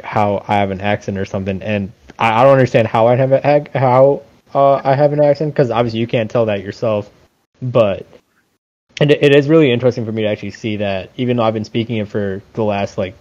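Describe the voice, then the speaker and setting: Low-voiced young man, rambling casually. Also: steady tone, brisk, darkish tone, muffled recording. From India. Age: 20s. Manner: rambling, heavy on fillers and self-corrections. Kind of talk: casual podcast chat.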